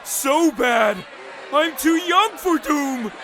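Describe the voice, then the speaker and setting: An actor voicing a gloomy, panicky young student in a comic dub, fretting in a drawn-out, melodramatic way over noisy ambience. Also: high-pitched, expressive, raised voice, crowd noise, exaggerated voice. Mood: panicked gloom. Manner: fretful, melodramatic, drawn-out doomsaying. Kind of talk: animated-style comic dub acting.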